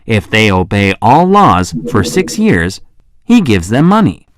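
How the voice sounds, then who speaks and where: An actor playing a curious, polite traveler who narrates his own children's story with expressive, clear phrasing. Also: fairly low pitch, measured pace, no echo, clean studio sound. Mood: reflective. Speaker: first-person narrator.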